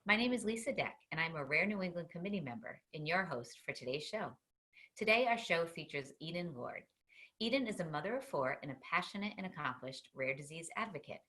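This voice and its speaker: A woman's voice: lowish voice, expressive, fairly quick, sharp tone. A woman with a Boston accent hosting an interview over a video call, reading a scripted introduction and then asking chatty questions. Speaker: host.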